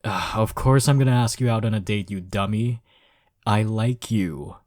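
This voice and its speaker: Deep-voiced, cocky flirtatious college rival acted by a man. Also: moderate pace, dry recording, studio quality, lightly acted. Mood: playful, teasing. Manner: smug, flirtatious, drawling teasing with soft chuckles. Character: cocky, teasing male college rival smitten with listener. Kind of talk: intimate romantic audio roleplay.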